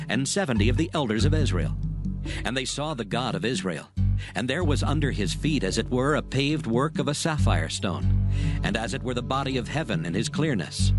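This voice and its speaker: A man narrating scripture in a measured, steady reading. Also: medium pitch. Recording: narration over loud music.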